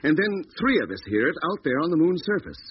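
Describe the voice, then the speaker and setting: A level-headed space doctor, reasons aloud with lively rises and falls. The 1950s radio drama recording is dull, with a faint hiss. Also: male voice, a little high. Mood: puzzled. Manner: explanatory, deliberate.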